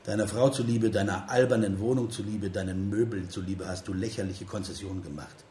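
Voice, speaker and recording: Tiefer, höhnisch-bitterer Mann im halligen Raum Schauspieler. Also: male voice, on the low side, mellow, lightly acted. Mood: scornful, bitter. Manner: scornful, deliberate, broken into short emphatic phrases. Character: bitter, mocking man needling a failed writer friend. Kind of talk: radio play dialogue. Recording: roomy echo with noisy ambience. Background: ambient noise.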